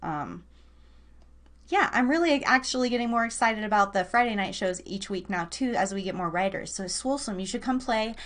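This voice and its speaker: A woman's voice: mid-range voice, lively intonation, moderate pace, fairly bright tone. A woman hosting a live online radio show, chatting informally with listeners and rambling as she thinks aloud. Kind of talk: casual, chatty livestream talk to listeners.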